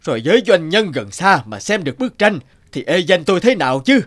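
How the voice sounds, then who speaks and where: A man's voice: high-pitched, very animated, fairly quick, fairly bright tone, heavily acted. A man voicing a stingy, haughty rich businessman in a cartoon, muttering and blustering. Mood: indignant. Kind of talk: animated moral tale dubbing.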